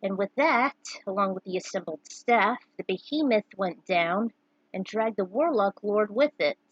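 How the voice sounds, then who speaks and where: A woman's voice: mid-range voice, expressive, moderate pace, slightly rough, no echo, muffled recording. A woman narrating a story in a casual, amateur way, with informal asides to the listener. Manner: informal asides, interrupting own reading to comment. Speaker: narrator reading own fan fiction.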